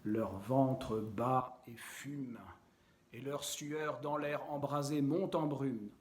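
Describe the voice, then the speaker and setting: A man reciting poetry in a measured, deliberate and expressive way, with frequent pauses. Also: fairly high pitch.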